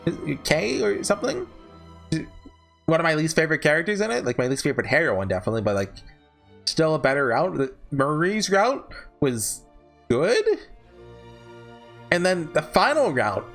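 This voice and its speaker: A man hosting a casual, unscripted ranking video, talking in a rambling, halting way and often correcting himself. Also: fairly high pitch, sing-song, gravelly, a bit bright, faint music behind. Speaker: video host ranking visual novels. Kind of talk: casual unscripted tier-list commentary.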